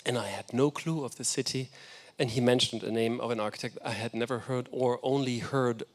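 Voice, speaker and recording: A man's voice: medium pitch, fairly even intonation, a little fast. A man whose first language is German, giving an enthusiastic, reflective architecture lecture. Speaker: guest lecturer.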